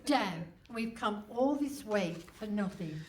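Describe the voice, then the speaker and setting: A woman's voice: mid-range voice, expressive, slow, a little mellow. A woman playing a prim, inquisitive detective mother in a live comic radio play. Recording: slightly distant stage mic.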